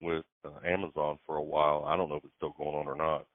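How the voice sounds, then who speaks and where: A low-voiced man telling stories in a laid-back, plain-spoken way on a phone call. The sound is dry and narrow, like a phone line. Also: little pitch movement, fast, no echo. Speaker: truck driver. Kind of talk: casual phone chat.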